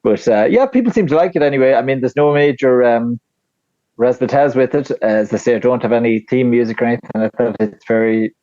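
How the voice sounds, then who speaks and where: A man with a flat Tipperary Irish accent, chatting casually as a podcast guest, rambling with lots of fillers and restarts. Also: medium pitch, a little fast, no echo, lo-fi sound. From Ireland. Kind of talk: casual interview chat.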